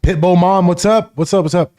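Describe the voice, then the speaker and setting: A man's voice: sits high, fairly quick, warm tone. A man from Florida hosting a casual live stream, rambling, greeting chat viewers by name and swearing freely. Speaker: livestream host.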